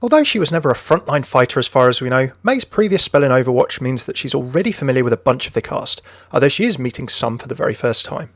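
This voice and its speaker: A man's voice: medium pitch, expressive, fast, fairly bright tone, dry recording, clean studio sound. A man presenting a scripted video narration about a game character. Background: music.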